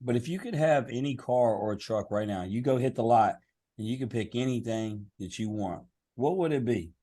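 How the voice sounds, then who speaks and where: A man's voice: medium pitch, animated, normal speed, dark tone. A middle-aged man co-hosting a podcast, joking easily with his guest and often restarting his sentences. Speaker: podcast co-host. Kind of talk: casual interview chat.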